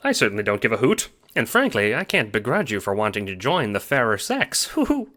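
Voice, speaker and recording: A man voicing a stern yet warm old grandmother who teases fondly in an audio drama. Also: on the low side, wildly swooping pitch, fairly quick. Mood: playful, approving. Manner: fussy, affectionate, hooting pet-name talk. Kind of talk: audio drama character acting.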